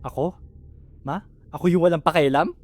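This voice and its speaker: A man's voice: on the high side, fairly even intonation, normal speed, darkish tone, a little hiss or hum, natural voice. A man with a Filipino accent playing a defensive, overworked older brother in an amateur radio drama. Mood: hurt, defensive.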